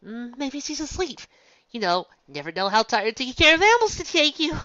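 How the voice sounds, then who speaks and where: A woman's voice: high voice, sing-song, brisk, bright, forceful tone, no echo, voice pushed up, full character voice. A woman voicing an anxious, bookish young female unicorn who pleads in a frantic, breathless way, in a dull lo-fi recording. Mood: nervous denial.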